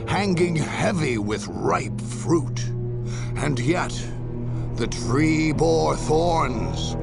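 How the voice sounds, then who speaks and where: An actor playing a grave chronicler, narrating a dark war story for a video game in a theatrical, deliberate manner. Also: male voice, fairly high pitch, creaky, exaggerated voice. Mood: grave, relishing. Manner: theatrical, deliberate, drawn-out key words.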